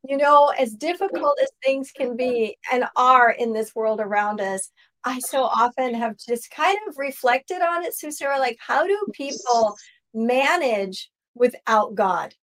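A woman's voice: fairly high pitch, animated, unhurried, a bit bright. A woman hosting a conversational faith interview, speaking in an effusive, affirming way. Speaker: interview host.

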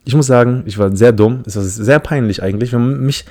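A man's voice: mid-range voice, fairly quick, dark tone. A man from the Philippines hosting a casual solo podcast, telling a story in a rambling, self-mocking way with many restarts. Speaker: podcast host.

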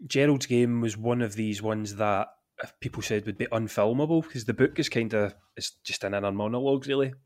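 A man with a Glaswegian accent, a guest on a podcast, chatting in a relaxed, easygoing way with frequent laughs. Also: fairly low pitch, a little fast, no room sound. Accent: Scottish, Glaswegian. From Scotland. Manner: relaxed, chatty, laughs often, says "aye". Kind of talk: casual chat.

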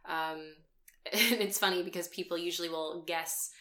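Woman, 20s, bright tone, casual podcast chat, chatty, lots of fillers. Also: lowish voice, steady tone, moderate pace. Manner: chatty storyteller, many fillers and laughs. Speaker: podcast co-host.

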